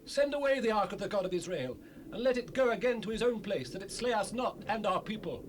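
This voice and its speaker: A man's voice: sits high, lively intonation, fairly quick. An actor playing a panicked townsman pleading for his life in a dramatized Bible recording. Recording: noisy raw cassette rip.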